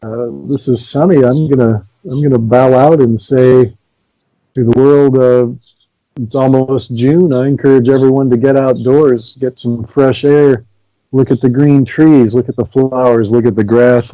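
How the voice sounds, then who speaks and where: A man co-hosting a podcast, mostly chiming in with short agreement and signing off gently. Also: mid-range voice, steady tone, normal speed, dry recording. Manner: brief agreeing replies, gentle upbeat sign-off. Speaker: podcast co-host. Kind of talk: casual political chat. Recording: muffled dull audio.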